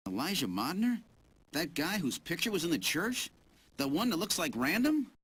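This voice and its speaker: A man's voice: fairly high pitch, sing-song, moderate pace, a bit bright, breathy edge, full character voice. A man voicing a bewildered amnesiac special agent in a video game, searching for who he is. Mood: pondering. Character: bewildered amnesiac male special agent seeking his identity. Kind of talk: dramatic video game voice acting.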